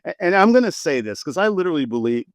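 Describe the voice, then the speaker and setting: A man in his sixties, a physician guest in an interview, telling his story in an animated way and repeating words when excited. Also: fairly high pitch, very fast, dry recording, clean studio sound. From United States. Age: 60s.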